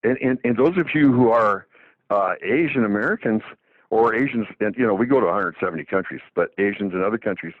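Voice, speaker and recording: A man's voice: fairly low pitch, brisk, dry recording. A man in his seventies, a doctor, talking casually on the radio with many restarts and fillers. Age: 70s. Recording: phone-like dry narrow line.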